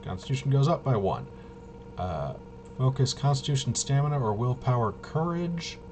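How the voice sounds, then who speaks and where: A man hosting a tabletop game walkthrough, thinking aloud in a casual, halting way and muttering to himself. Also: mid-range voice, lively intonation. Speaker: host of a tabletop RPG video. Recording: noisy room, clearly audible background noise.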